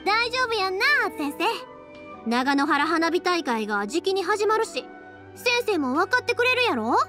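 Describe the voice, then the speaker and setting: An actress playing a cheerful, big-hearted young fireworks maker in an anime game, speaking with a Kansai accent. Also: female voice, very high-pitched, moderate pace, over music. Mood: eager. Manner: lively, friendly, expressive dialect talk. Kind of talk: Japanese anime game voice acting.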